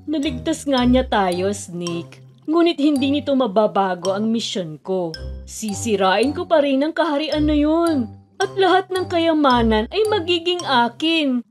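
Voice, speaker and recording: A woman's voice: high voice, animated, unhurried, faint music behind. A woman playing a haughty, cackling female sea villain in a children's fairy tale cartoon, scornful and menacing. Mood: haughty, scheming.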